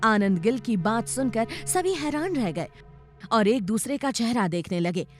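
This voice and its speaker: Woman, dramatic story narration, high pitch, expressive swings, faint music. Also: a little slow.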